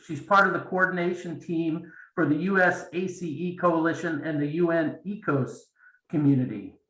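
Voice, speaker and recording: A man's voice: on the high side, moderate pace, a bit bright. A man hosting an event and informally introducing the next speaker, recorded in a roomy space away from the microphone. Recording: roomy, echoing, distant microphone.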